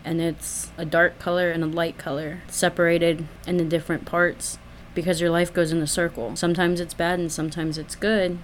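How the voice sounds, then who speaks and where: A man's voice: on the high side, a little hiss or hum. A twenty-year-old speaking earnestly and reflectively about his art. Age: 20s. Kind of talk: personal testimony.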